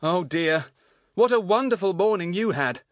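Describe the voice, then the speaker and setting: A man's voice: high-pitched, lively intonation, normal speed, no room sound, studio quality, voice pushed up. A man voicing a keen, good-natured schoolboy in a children's audiobook, earnest and friendly. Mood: admiring.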